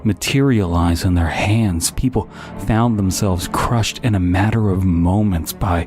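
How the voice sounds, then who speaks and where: Slow, deep man narrating horror. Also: darkish tone. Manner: slow, grave delivery. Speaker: story narrator. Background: music.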